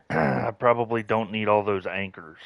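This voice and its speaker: A man's voice: lowish voice, moderate pace, vocal fry, no room sound, lo-fi sound. A man gives casual gameplay commentary, muttering to himself as he plays. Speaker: gamer.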